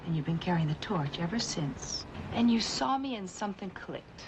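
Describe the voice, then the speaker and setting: A woman's voice: fairly low pitch, expressive, moderate pace, noisy background. An actress playing a guarded, wounded young American shopgirl in a 1950s Hollywood film, colloquial and emotional. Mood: guarded, brusque. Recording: dull old film soundtrack, music behind.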